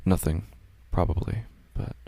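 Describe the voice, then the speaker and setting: A man's voice: measured pace, mellow, slight background noise. A man hosting and narrating a scripted horror story. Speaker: podcast host and narrator.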